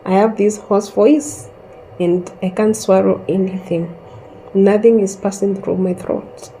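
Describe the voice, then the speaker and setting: Slow, mellow-voiced woman telling her story. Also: medium pitch, fairly even intonation, slight background noise, poor audio. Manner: slow and reflective, with long pauses. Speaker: host sharing a personal story. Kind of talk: casual personal storytelling.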